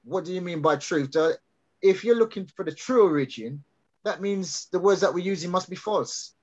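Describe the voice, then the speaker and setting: A man's voice: high-pitched, normal speed, darkish tone, slightly rough. A man explaining his ideas on a video call, hesitant with many restarts and fillers. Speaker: guest speaker.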